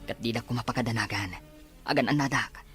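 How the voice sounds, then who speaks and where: Man playing avenging son, Filipino accent, bright tense voice, radio drama, faint music behind. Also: mid-range voice, quick pace, natural voice. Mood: tender, earnest. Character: vengeful grown son confronting his father's killer.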